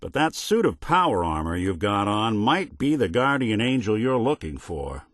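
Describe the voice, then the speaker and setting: Weary old-school robot detective, american, deepish, laconic but pitch moves around a lot, good-hearted game character. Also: male voice, big pitch swings, normal speed, a bit bright, no echo, clean studio sound. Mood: matter-of-fact. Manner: wry, laconic, folksy. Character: world-weary, principled synthetic detective, middle-aged man. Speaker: voice actor. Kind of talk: video game character dialogue, old-time detective manner.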